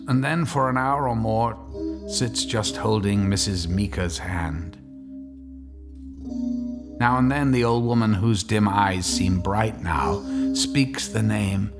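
Measured storyteller, slightly low voice. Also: male voice. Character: male storyteller, measured and descriptive. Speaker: narrator. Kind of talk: scripted dramatic narration.

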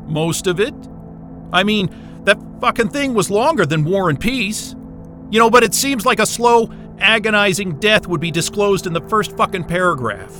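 A man's voice: sits high, animated, normal speed, pushed and bright, faint music behind. A man playing a weary, foul-mouthed astrophysicist in an audio drama, with a sarcastic, grumbling manner. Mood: irritated disbelief. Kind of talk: naturalistic audio drama acting.

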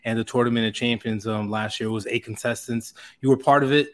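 A man's voice: mid-range voice, fairly even intonation, fairly quick, fairly bright tone. A man representing a sponsor on a podcast, talking casually and hesitantly with many fillers.

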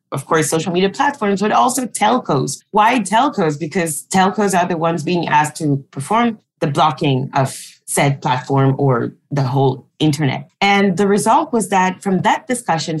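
A woman from Cameroon, explaining her advocacy work on a panel in a measured way, correcting herself now and then. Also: low-pitched. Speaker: digital rights advocate. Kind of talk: panel discussion answer.

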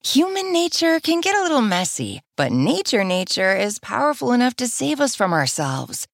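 A woman's voice: on the low side, dry recording, clean studio sound. A woman reading a chatty radio ad with self-mocking asides. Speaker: ad announcer. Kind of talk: scripted radio ad.

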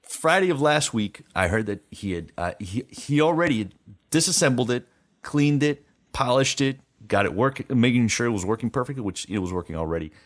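A man's voice: mid-range voice, expressive, brisk. A middle-aged man co-hosting a chat show, talking casually in an enthusiastic, meandering storytelling way.

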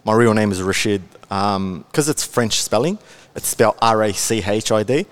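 A man in his twenties with an Australian accent and a fairly low voice. He talks as a guest on a podcast, relaxed and chatty, with plenty of fillers and easy laughs. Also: normal speed. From Melbourne, Australia. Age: 20s. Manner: chatty, laid-back, many fillers, laughs often. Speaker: guest, content creator. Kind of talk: casual podcast interview.

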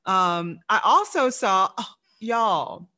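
A woman's voice: mid-range voice, animated, pushed and bright. A woman hosting a casual interview, bubbly and slangy, drawing her words out. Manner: bubbly, slow and drawn out, slangy. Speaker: interview host.

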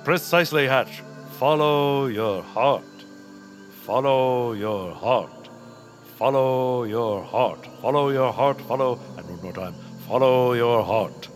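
A man's voice: mid-range voice, takes it slowly, natural voice. Guy doing cocky space hero from old radio, declaims everything, mid voice, music under. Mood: assured. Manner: declaiming, self-assured, deadpan absurd. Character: cocky, dim, heroic space adventurer of radio serial.

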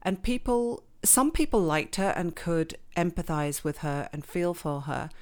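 A woman from England, raised in Switzerland, with an English accent, chatting warmly and laughing often as a novelist being interviewed. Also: fairly low pitch, unhurried. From born in England, grew up in Switzerland. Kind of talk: casual interview chat.

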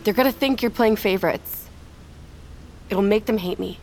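An actress playing a guarded, weary but defiant survivor in a naturalistic audio drama, with terse, blunt delivery. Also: female voice, medium pitch, moderate pace, darkish tone, soft background music, natural voice. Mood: guarded, insistent.

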